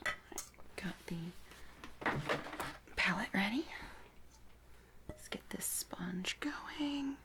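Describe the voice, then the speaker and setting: A woman doing a soft-spoken ASMR roleplay as a makeup artist, breathy and whispered, giving gentle, reassuring instructions. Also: medium pitch, animated, slow, long pauses.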